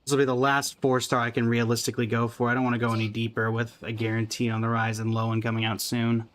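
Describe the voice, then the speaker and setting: A man's voice: mid-range voice, completely flat delivery, fast talker, fairly bright tone. A man chatting casually to viewers as he hosts a live gaming stream. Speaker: livestreamer.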